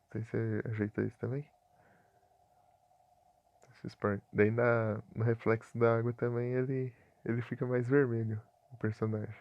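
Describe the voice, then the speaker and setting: A man's voice: medium pitch, little pitch movement, moderate pace, creaky, long pauses, no room sound, highs cut off. A Brazilian man from Paraná streaming a game live, chatting casually with viewers and chuckling often.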